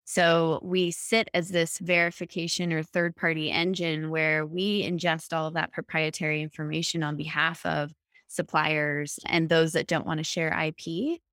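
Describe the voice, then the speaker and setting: Woman, low voice, fluent startup pitch, dry close sound. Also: level tone, a bit bright, no room sound. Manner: fluent, explanatory, fast-paced pitch. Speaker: startup founder. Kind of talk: business interview.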